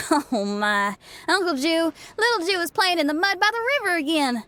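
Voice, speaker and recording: Young rock musician, confident and cheeky, high and expressive. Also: female voice, normal speed, no room sound, full character voice. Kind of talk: game cutscene dialogue.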